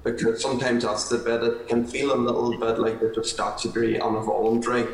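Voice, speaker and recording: A man, measured and deliberate, chairing a committee hearing. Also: medium pitch, monotone. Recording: echoey room with faint noise.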